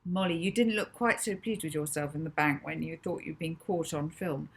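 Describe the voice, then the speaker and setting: A woman voicing an excitable, cheeky young boy in a children's storybook, exclaiming and teasing. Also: sits low, moderate pace, slightly rough. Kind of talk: children's storybook character dialogue.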